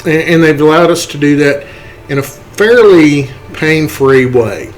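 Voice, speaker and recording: A man's voice: a little high, measured pace, soft background music. A man narrating a computer tutorial in a casual, conversational way, explaining step by step and often checking in with the listener. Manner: conversational, explanatory, often tags points with a checking "okay" or "right". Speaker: tutorial host, game modder.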